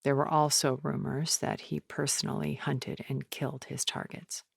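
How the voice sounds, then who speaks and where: A woman acting an elegant, calculating, regretful centuries-old woman in a video game, speaking in a measured, deliberate and intense way. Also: very deep voice, flat delivery, vocal fry, breathy edge, no echo, studio quality, full character voice. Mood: wistful, measured.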